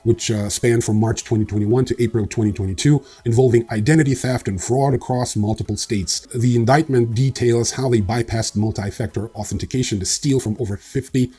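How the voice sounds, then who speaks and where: Husky, wry crypto news host man. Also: mid-range voice, steady tone, a little fast, few pauses, warm tone. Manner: wry, dry sarcasm, frequent ums and uhs. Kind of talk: casual news roundup with sarcastic asides.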